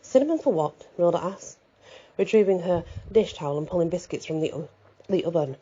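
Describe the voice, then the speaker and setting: A woman's voice: on the low side, brisk, dark tone, slightly rough, dull audio. A woman narrating an audiobook, telling a first-person story as a reader.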